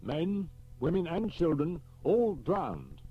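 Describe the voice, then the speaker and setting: Grave, blunt police chief, read by the narrator, pitched up and forceful. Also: male voice, wildly swooping pitch, breathy edge, muffled recording, exaggerated voice. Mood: grave, urgent. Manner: brisk and blunt. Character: blunt, grave senior police chief, middle-aged man.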